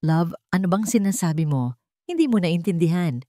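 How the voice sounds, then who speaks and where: A woman's voice: lowish voice, expressive, moderate pace, warm tone, no room sound, clean studio sound, lightly acted. A woman storyteller voicing a cold, mocking, two-faced wife in an audio drama, sounding insincere and sneering. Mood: feigned concern.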